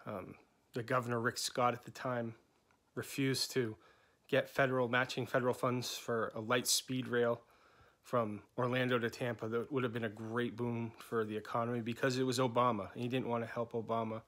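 A man's voice: medium pitch, little pitch movement, normal speed, a bit bright. Rambling man from New England. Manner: loose, rambling, many ums and restarts. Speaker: candidate for chapter coordinator. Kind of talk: unscripted campaign introduction. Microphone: phone held at arm's length.

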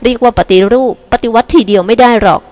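A woman's voice: a little high, fast talker, darkish tone, in a large hall, natural voice. A woman doing a breezy, confident older sister. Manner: breezy and reassuring.

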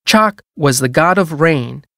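An actor playing a museum audio guide, reading scripted educational narration for a video game in a measured, formal way. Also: male voice, fairly high pitch, expressive, creaky, bright, forceful tone, no echo, studio quality, exaggerated voice. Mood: formal, instructive.

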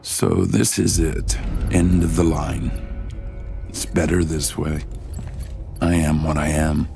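Grim infected mercenary, very low voice, slow brooding delivery, self-loathing, game cutscene, music behind. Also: male voice, flat delivery, vocal fry, warm tone, exaggerated voice. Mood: resigned. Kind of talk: dramatic scripted game cutscene narration.

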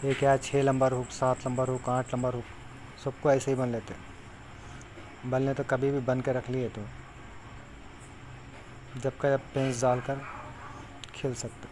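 A man's voice: mid-range voice, completely flat delivery, a little slow, pauses often, a little mellow, rough recording. A man casually explaining step by step how to tie fishing hooks while he works. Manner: plain instructive, talks while tying knots. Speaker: fishing tutorial maker. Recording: clear background insect noise.

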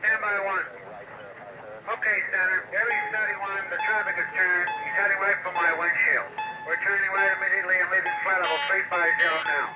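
A man's voice: high-pitched, little pitch movement, brisk, loud music behind the voice. A man playing a composed airline pilot in a film, reporting matter-of-factly through a radio filter. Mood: alert, tense. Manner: understated, matter-of-fact. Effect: cockpit radio filter. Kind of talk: radio procedure talk in a film. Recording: dull, noisy aircraft radio link.

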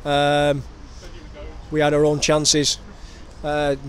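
A man in his thirties, a professional footballer giving measured answers in a post-match interview. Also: on the high side, completely flat delivery. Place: outdoors outside a stadium shop. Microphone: handheld interview microphone. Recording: noisy outdoor street recording.

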